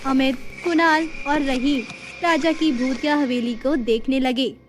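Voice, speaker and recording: A woman's voice: high voice, fairly even intonation, unhurried, background noise. A woman storyteller giving a scripted narration of a horror story. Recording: music under the voice.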